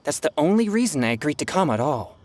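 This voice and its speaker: A man voicing an earnest, reluctant teenage exorcist boy in an animated game, plain-spoken and matter-of-fact. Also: on the high side, quick pace. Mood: grudging.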